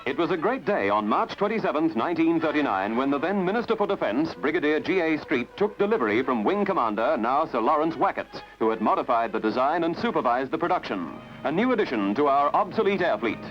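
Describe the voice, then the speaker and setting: Lively, high-pitched male newsreel narrator. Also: fast talker, hardly any pauses. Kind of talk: scripted documentary newsreel narration. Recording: dull lo-fi archival film soundtrack with music. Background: prominent music.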